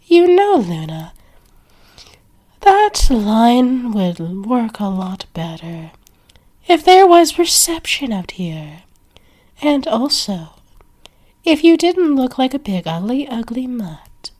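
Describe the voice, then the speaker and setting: A woman's voice: a little high, big pitch swings, slow, long pauses, a little mellow, pitched above the performer's own voice, heavily acted. A woman voicing a possessive, haughty female vampire in an ASMR roleplay, scornful and bossy in a dramatic way.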